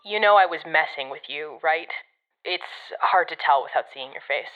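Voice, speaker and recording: A woman playing a blunt, sardonic coworker in an audio drama, deadpan and sweary, heard through a radio filter. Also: deep voice, moderate pace, phone-quality sound, music in the background. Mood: frank. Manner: deadpan, sarcastic, casually sweary. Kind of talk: conversational audio drama acting.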